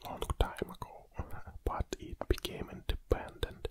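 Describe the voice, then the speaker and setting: A man in his forties from Kazakhstan with a Russian accent, hosting an ASMR video in a slow, soft close whisper with small hesitations, recorded on a studio condenser mic in a small home studio. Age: 40s. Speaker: ASMR channel host. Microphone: studio condenser mic on a stand, wearing headphones.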